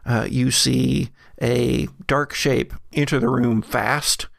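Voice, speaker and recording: A man narrating an improvised horror tabletop game as game master, halting and pausing mid-sentence to build suspense. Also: mid-range voice, steady tone, gravelly, no echo, studio quality.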